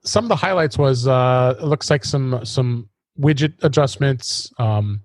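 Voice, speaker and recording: A man hosting a casual tech podcast, halting and often restarting his phrases. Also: medium pitch, dry recording. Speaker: podcast host.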